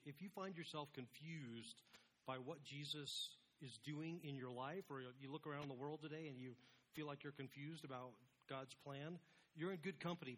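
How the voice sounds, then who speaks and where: Higher-voiced male pastor with background noise. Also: a bit bright. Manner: casual, self-deprecating. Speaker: pastor preaching to a congregation. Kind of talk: informal conversational sermon.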